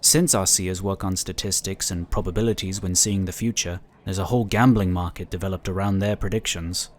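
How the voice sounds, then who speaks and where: A man's voice: low voice, fairly even intonation, fairly quick, lightly acted. A man playing an affable, talkative and polite psionic inquisitor in a sci-fi audio drama. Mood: candid, explanatory. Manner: talkative, easygoing, explanatory.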